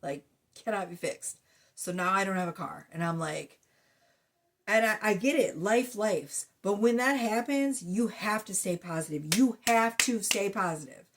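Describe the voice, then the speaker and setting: A woman giving a casual tarot reading to her viewers, blunt and profane in her chatty way, talking through a sore, slightly hoarse throat. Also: mid-range voice, unhurried, a bit bright. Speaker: tarot reader.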